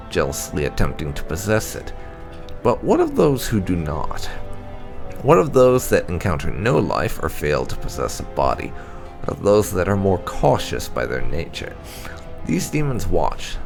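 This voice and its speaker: Deep gravelly guy narrating fantasy game lore, formal and measured, music playing behind him. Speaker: gaming channel host. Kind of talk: scripted narration, reading game lore entries aloud.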